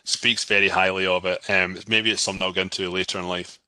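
Chatty Scottish man, bright and dry. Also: low voice, flat delivery, fast, clean tone, dry recording, low-quality recording. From Scotland. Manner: chatty, repeats words often, laughs easily. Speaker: podcast guest, entrepreneur. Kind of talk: casual interview chat.

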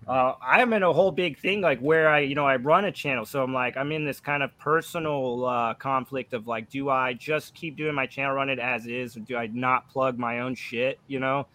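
A man's voice: mid-range voice, fairly even intonation, moderate pace, few pauses, pushed and bright. Casual, foul-mouthed man chatting. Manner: profane, laughs easily; self-deprecating. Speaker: book review channel host and aspiring writer. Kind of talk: casual chat.

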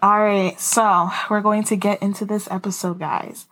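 A woman's voice: mid-range voice, flat delivery, normal speed, fairly bright tone. A young woman co-hosting a podcast in casual chat, laughing often and telling stories with quoted asides. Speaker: podcast co-host.

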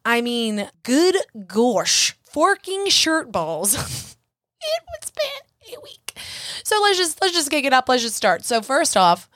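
A young woman hosting a solo podcast, chatty and self-mocking, her voice husky and a little hoarse from congestion. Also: high-pitched, wildly swooping pitch, takes it slowly, a bit bright, dry recording, studio quality. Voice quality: husky, raspy. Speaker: podcast host.

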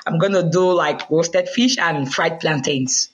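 A woman from Cameroon, answering interview questions warmly and simply. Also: low-pitched, measured pace, lo-fi sound, faint music behind. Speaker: podcast guest.

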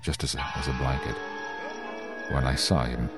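An actor playing a haunted, lonely male driver who narrates his terror in a brooding, halting way for a suspense radio drama. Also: extremely low pitch, little pitch movement, loud music behind the voice. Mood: despairing, weary.

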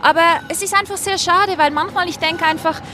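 A woman's voice: very high-pitched, fairly even intonation, brisk. A woman giving earnest, spontaneous answers in a casual interview recorded outdoors on a street. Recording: outdoor street noise, faint traffic. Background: faint urban ambience and vehicles.

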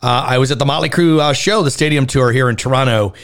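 Chatty male radio interview host. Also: medium pitch. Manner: relaxed, chatty, drawn-out questions. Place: Toronto.

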